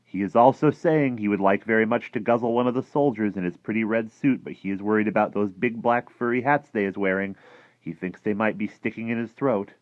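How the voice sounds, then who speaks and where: A man voicing a huge, gentle, gloomy giant who talks in muddled grammar and invented words for a children's storybook. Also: lowish voice, a little fast, no echo, muffled recording, pitched above the performer's own voice, lightly acted. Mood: earnest, gossipy. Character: huge, gentle, gloomy friendly giant with garbled rustic speech. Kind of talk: animated children's storybook character reading.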